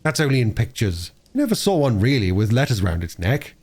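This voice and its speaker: A man's voice: mid-range voice, big pitch swings, a little fast, a little mellow. A man voicing a bossy, self-righteous young boy in a storybook reading. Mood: scornful.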